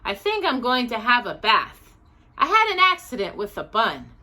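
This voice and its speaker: Woman voicing a polite little bear, high-pitched. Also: wildly swooping pitch, moderate pace, bright, forceful tone, full character voice. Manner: polite, earnest, matter-of-fact. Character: polite, earnest small male bear from Peru.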